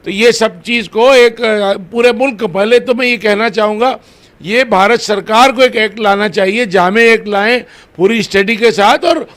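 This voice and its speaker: A man answering interview questions as head of a religious organisation, deliberate and formally worded. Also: sits high, a bit bright.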